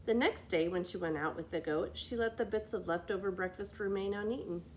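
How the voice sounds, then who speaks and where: A woman reading a children's fairy tale as a storyteller, with expressive scripted narration. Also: medium pitch, a little fast, a little hiss or hum. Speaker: library storyteller.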